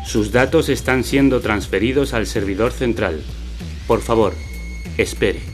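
A man performing scripted radio drama in the formal, deliberate, detached manner of an impassive announcer. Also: on the low side, lightly acted. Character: impassive male computer system and storyteller. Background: loud music.

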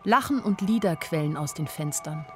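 A woman's voice: low-pitched, expressive, moderate pace, darkish tone. An actress playing a weary, lovesick young woman who narrates a radio play in an introspective, lyrical way. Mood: weary wonder. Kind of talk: literary first-person radio play narration. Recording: studio radio play with faint music.

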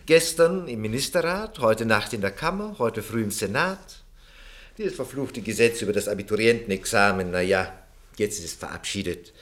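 A fawning, scheming minister. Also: male voice, fairly low pitch, lively intonation, moderate pace, fairly bright tone. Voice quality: obsequious, sly, chuckling. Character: scheming corrupt male education minister, fawning. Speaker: minister Branesco. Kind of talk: 1950s-60s radio play acting.

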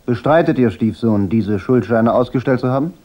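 A man's voice: mid-range voice, animated, moderate pace, lightly acted. A man acting a cool, laconic, insolent private detective in a German radio crime drama. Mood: cool, probing. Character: cool, wry, unflappable hard-boiled private detective. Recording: dull radio drama recording.

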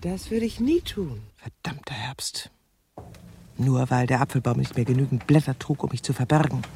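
A middle-aged woman consumed by love, bitter and sardonic, in a crime radio play. She speaks slowly and intimately in a soft, dark tone with a creaky edge, often sinking into a whisper. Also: extremely low pitch, a little hiss or hum, full character voice. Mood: flustered. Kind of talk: radio crime drama monologue and dialogue.

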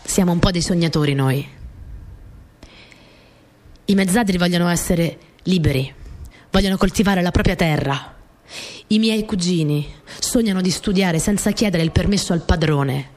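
Radio play lead: actress as young Italian wartime courier, slightly low female voice, earnest and dreamy, simple reminiscent delivery. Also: moderate pace, pauses often, a little mellow, lightly acted. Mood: wistful, dreamy. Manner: simple, conversational, reminiscent storytelling with short phrases. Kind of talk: radio theatre monologue, intimate first-person storytelling.

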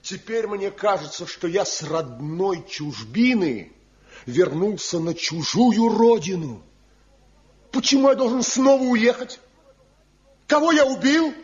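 An actor playing a wounded, proud young wanderer in an impassioned, theatrical Soviet radio drama style. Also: male voice, high voice, expressive, measured pace. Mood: aggrieved. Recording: dull vintage radio recording.

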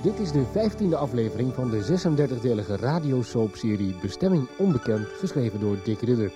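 A man's voice: mid-range voice, sing-song, fairly quick. Male radio narrator over music. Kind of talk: scripted radio narration, episode recap.

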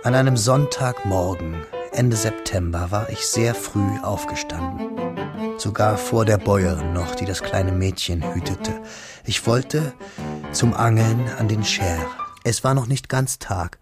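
Low-voiced wistful man narrating over music an actor. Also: lightly acted. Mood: quietly tense. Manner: measured, reflective storytelling. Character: wistful, pensive young man narrating his memories. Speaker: narrator of a radio drama. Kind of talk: literary radio drama narration.

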